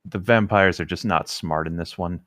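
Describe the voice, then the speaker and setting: A man's voice: low-pitched, normal speed, a bit bright, no echo, clean studio sound. A man co-hosting a podcast, chatting casually and reading passages of a novel aloud. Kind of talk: casual banter and read-aloud.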